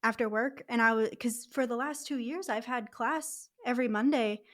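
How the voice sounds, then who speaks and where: Brief Twenties woman co-host, slightly high voice, chatty and candid in casual talk. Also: normal speed. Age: 20s. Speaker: podcast co-host. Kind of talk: casual chat.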